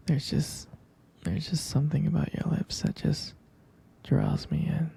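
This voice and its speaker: A man speaks in a tender, adoring boyfriend ASMR murmur, slow and very soft. His voice is extremely breathy and husky, slipping into whispers, with heavy vocal fry running through it. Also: fairly high pitch, sing-song. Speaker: ASMR roleplay creator. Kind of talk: intimate boyfriend roleplay ASMR.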